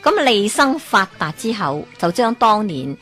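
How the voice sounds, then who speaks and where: A woman storyteller with a Hong Kong Cantonese accent spins an old folk tale at a middle pitch. She talks in a lively way and keeps turning to the listener with questions. Soft music plays quietly behind her. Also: a little slow.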